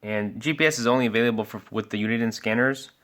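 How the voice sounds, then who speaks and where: A man's voice: medium pitch, quick pace, a bit bright. Man casually walking through a product demo. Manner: informal, step-by-step explaining. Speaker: product demonstrator and scanner sales expert. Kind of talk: casual product review and walkthrough.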